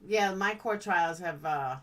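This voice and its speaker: Woman streamer just chatting, kinda low voice, blunt n playful, sounds a bit away from the mic. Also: measured pace, a bit bright, distant mic. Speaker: live-stream host. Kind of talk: casual live chat, reacting to comments.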